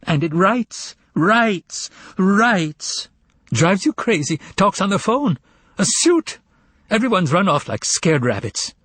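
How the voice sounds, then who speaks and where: A frantic young secretary in a storybook reading, her soft voice gone a little nasal from crying, as a male narrator puts her on. She runs on breathlessly through her tears, her pitch raised well above his own and leaping about. The voice is faintly husky and dry, with no room around it. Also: very animated, takes it slowly, no room sound, exaggerated voice. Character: distraught young woman secretary, tearful and frantic. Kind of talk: audiobook character voice.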